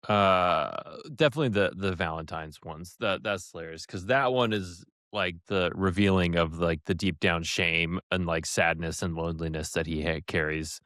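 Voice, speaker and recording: Very deep-voiced male podcast co-host. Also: moderate pace, few pauses, a bit bright, dry recording, clean studio sound. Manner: offhand, wry, matter-of-fact. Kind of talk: casual chat.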